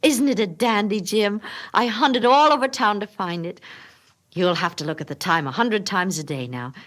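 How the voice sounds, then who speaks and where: A woman's voice: medium pitch, normal speed, fairly bright tone, pitched above the performer's own voice, natural voice. A woman voicing an eager, loving young wife in a dramatic storybook reading, breathless and emphatic. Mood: eager, excited.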